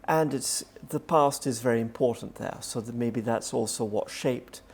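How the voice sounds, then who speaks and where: A man from Venice speaking as a historian in an interview, explaining things in a measured, conversational way. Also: mid-range voice, creaky. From Venice, Italy.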